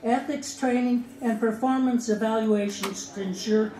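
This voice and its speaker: A man's voice: sits high, fairly even intonation, warm tone. Earnest man, Spanish first language. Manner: earnest, halting with restarts. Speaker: attorney testifying. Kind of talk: public testimony at a hearing. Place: hearing room. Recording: echoey room with faint background noise.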